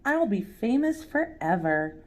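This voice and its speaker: A woman's voice: a little high, sing-song, unhurried, darkish tone. A woman voicing a curious, imaginative young boy who loves science, in an earnest storybook read-aloud.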